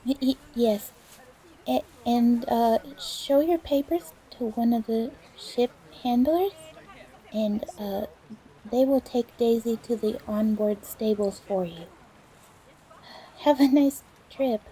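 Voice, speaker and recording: A young woman playing a nervous, flustered ship charterer in an audio drama, stammering and timid in a halting way. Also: on the high side, warm tone, husky, low-quality recording. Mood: nervous, businesslike. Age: young adult.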